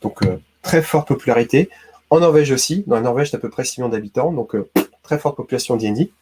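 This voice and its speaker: A man's voice: mid-range voice, quick pace, warm tone, slightly rough. A man from Brittany hosting a casual quiz video, chatty and talking straight to the viewer with lots of "hein" and "d'accord". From Brittany, France. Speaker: YouTube host.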